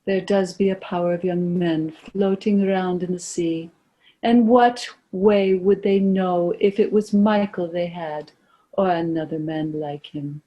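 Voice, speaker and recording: A woman's voice: lowish voice, fairly even intonation, a little slow, lo-fi sound, natural voice. A woman playing a grieving, weary old mother in a lyrical, lamenting stage drama performance. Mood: anxious, doubting.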